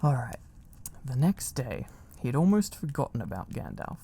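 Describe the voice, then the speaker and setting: A man reading a storybook aloud in a gentle, cosy, unhurried ASMR style. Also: mid-range voice, sing-song, vocal fry, breathy edge. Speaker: ASMR narrator.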